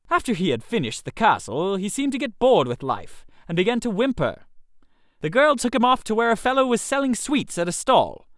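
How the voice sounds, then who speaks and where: A man's voice: high voice, fairly bright tone, no echo, clean studio sound. A man narrating a comic short story in character as a chatty upper-class chap, in a theatrical manner. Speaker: narrator reading as a first-person character.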